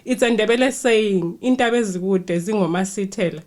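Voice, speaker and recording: A woman's voice: medium pitch, fairly quick, background noise. Woman hosting a podcast. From Zimbabwe. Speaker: podcast host. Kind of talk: scripted podcast intro, then interviewing.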